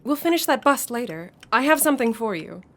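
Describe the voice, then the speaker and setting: An actress playing a mysterious, guarded dream traveller in a radio drama, with a measured, cryptic delivery. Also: female voice, fairly high pitch, very animated, fairly bright tone, exaggerated voice.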